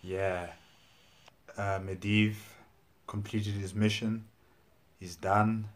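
A man in his thirties with a low voice comments casually on what he has just watched. He talks slowly, pausing to think aloud as he works through his thoughts. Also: little pitch movement. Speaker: reaction video host. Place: indoor room at home.

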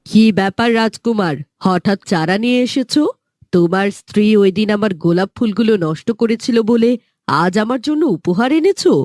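A woman's voice: mid-range voice, expressive, normal speed, a little mellow, no echo, studio quality, close to an everyday voice. A woman playing a stern, suspicious queen mother in a fairytale cartoon, speaking reproachfully. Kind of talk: Bengali fairytale cartoon acting.

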